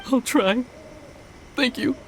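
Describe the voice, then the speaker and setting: A man's voice: very high-pitched, slow, noisy background. A man playing a glum, nervous young attendant in a game, hesitant and downcast. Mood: dejected. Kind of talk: scripted game voice acting.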